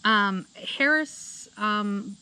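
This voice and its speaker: A woman's voice: mid-range voice, very slow, sharp tone, a little hiss or hum, poor audio. Woman in casual political podcast chat. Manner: conversational, many fillers and restarts. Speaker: podcast co-host.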